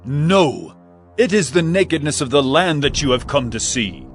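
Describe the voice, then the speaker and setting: Man playing a stern governor, slow firm delivery, animated pitch, faint background music. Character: stern Egyptian governor testing his brothers. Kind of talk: dramatized scripture reading.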